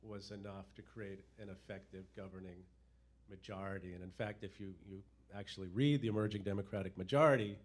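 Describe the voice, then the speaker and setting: A man's voice: sits low, fairly even intonation, fairly quick. Self-assured man on a panel. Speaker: panel guest. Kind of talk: panel discussion.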